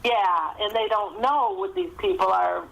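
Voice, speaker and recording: A woman's voice: medium pitch, sing-song, unhurried, gravelly, fairly bright tone, slight background noise, rough recording. A woman speaking as a guest author in a casual podcast interview, chatty and self-deprecating.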